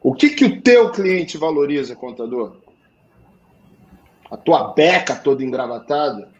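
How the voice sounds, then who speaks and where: Male sales coach, Portuguese accent, blunt and animated, fairly high voice. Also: unhurried, dull audio. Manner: blunt, animated, slangy, swings to loud emphasis. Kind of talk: live talk show advice.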